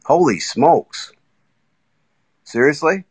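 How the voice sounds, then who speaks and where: A man's voice: a little high, expressive, slightly rough. A man calling in to a casual chat on his phone, talking eagerly in stops and starts. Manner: enthusiastic, halting with restarts. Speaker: guest caller. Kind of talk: casual chat on a live audio app. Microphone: iPhone.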